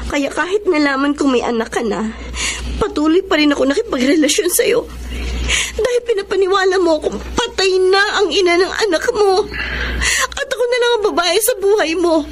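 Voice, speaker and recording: A woman's voice: very high-pitched, expressive, a little fast, slight background noise. An actress playing a betrayed, heartbroken young woman in a radio drama, emotional, pleading and reproachful. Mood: accusing, wounded. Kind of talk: Tagalog radio drama acting. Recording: radio drama with loud music bed. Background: dramatic music.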